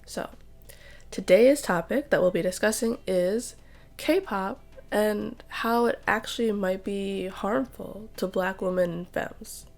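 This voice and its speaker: A woman YouTuber giving casual, opinionated commentary while she draws, her voice hoarse and congested. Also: mid-range voice, slow, darkish tone. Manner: chatty, opinionated, conversational. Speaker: art YouTuber.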